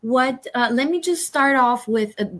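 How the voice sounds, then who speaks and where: A woman's voice: sits high, measured pace, a bit bright. A woman host from Romania, chatting enthusiastically in a casual interview, with lots of fillers.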